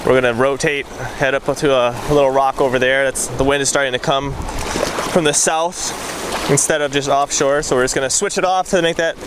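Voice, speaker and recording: A man's voice: medium pitch, steady tone, normal speed. Laid-back fisherman on the shore. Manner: laid-back surfer slang. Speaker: fishing vlogger. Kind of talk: casual on-camera narration. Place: rocky shoreline outdoors. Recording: loud wind and surf noise.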